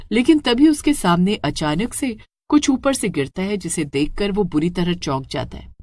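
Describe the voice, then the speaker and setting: A woman's voice: medium pitch, darkish tone, no room sound. A woman narrates a scripted horror story in a dramatic, suspenseful way.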